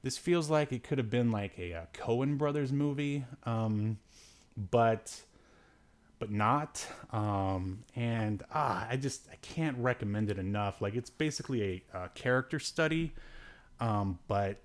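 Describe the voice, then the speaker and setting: A man's voice: mid-range voice, unhurried. A man hosting a podcast with casual, rambling review chat, hesitant and given to dry, joking asides. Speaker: podcast host.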